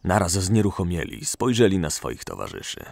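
A man's voice: low-pitched, very animated, moderate pace, gravelly, a little mellow, no echo. A man reading scripted narration for a fantasy audio drama.

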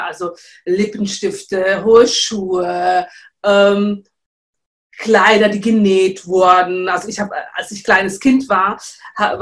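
A woman in her thirties from Czechoslovakia, a business coach, telling her story over a video call with many fillers. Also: medium pitch. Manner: lively with frequent fillers. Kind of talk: interview storytelling. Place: studio room with teal backdrop.

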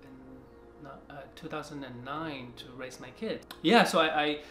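A man in his forties from China, talking in a soft, halting way with many restarts during a seated interview in an art studio. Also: fairly high pitch. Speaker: artist. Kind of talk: reflective interview talk. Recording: roomy studio sound with music behind.

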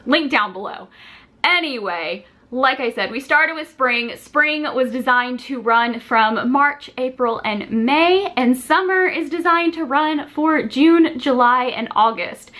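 A woman's voice: a little high, bright, forceful tone. A woman in her twenties chatting casually to camera in a rambling, self-deprecating, informal way, recorded in a small room at home. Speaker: vlogger and shop owner.

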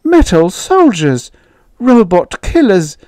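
A man voicing a timid, anxious, frail mother in a story, soft and pleading. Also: sits high, sing-song, slow pace, dry recording, voice pushed up, exaggerated voice. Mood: frightened.

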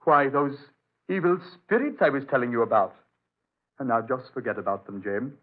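An actor playing a guarded, protective doctor and father in an old-time radio drama, speaking briskly and firmly. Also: medium pitch, animated, close to an everyday voice. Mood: evasive. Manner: brisk, firm, evasive. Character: reassuring but guarded doctor, protective father on remote island. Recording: muffled narrowband old radio recording.

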